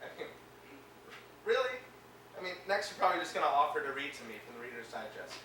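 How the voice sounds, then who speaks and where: An actor playing a bitter, sarcastic hospital patient, a paralysed sculptor, middle-aged. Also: male voice, on the high side, sing-song, fast talker, sharp tone, slight background noise. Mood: bitter, angry. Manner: sarcastic, biting. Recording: roomy film sound from a distance.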